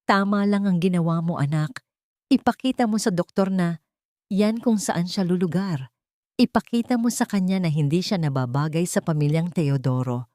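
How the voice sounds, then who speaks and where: A woman's voice: on the low side, moderate pace, dark tone, dry recording, studio quality, lightly acted. A woman with a Filipino accent voicing a proud, authoritative wealthy father with a commanding, smug manner in an audio story. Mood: smug approval.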